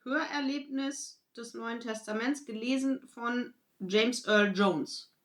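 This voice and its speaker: Higher-pitched woman in an echoey room. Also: slow pace. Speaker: podcast co-host. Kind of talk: casual chat.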